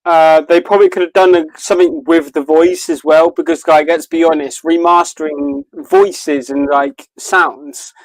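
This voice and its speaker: A man's voice: a little high, fairly even intonation, moderate pace, hardly any pauses, no room sound, poor audio. A man chatting casually as a podcast co-host, swearing freely with crude, self-deprecating humour. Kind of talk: casual chat, watch party banter.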